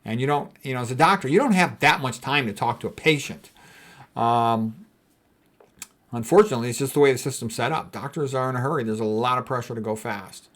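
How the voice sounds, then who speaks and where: A man with a mid-pitched voice lectures informally in the role of a doctor. He explains his material in a blunt, conversational way, moving between reading aloud and commenting off the cuff. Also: brisk, fairly bright tone.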